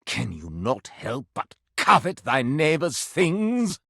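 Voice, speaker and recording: A man's voice: medium pitch, wildly swooping pitch, slow pace, gravelly, fairly bright tone, dry recording, studio quality, exaggerated voice. A man playing a flamboyant living candelabra in a video game, a prudish gossip who speaks in pompous, archaic, melodramatic language, forever scandalized. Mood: haughty, gossipy. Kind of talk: theatrical video game character acting.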